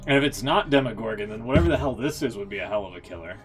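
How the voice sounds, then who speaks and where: Animated man musing on a livestream. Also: lowish voice. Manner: musing, halting, sweary. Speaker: game livestreamer. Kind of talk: casual reaction commentary. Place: indoor streaming setup. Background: music.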